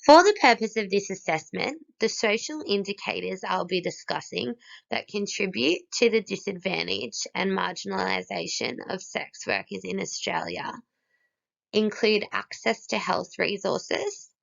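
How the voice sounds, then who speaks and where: Female student presenter, hoarse from a cold, heavy vocal fry, animated delivery, steady list reading. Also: medium pitch, a little slow. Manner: steady, listing one item after another. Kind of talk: read-aloud presentation. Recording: dull lo-fi recording.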